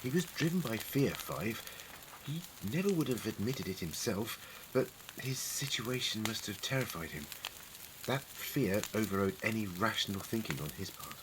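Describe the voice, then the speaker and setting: A man acting as an eccentric, dignified male time-traveller in an audio drama. He is wry and wordy, with lively swoops in pitch and clear noisy ambience behind him. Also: moderate pace. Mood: sombre, reflective. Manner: wry, formal, wordy, gently authoritative. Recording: prominent crackling ambience noise.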